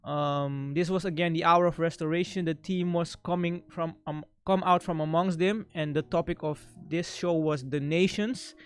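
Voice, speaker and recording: A man hosting a show and signing off unscripted, halting as he searches for his words. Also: on the high side, fairly even intonation, clean tone. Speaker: show host.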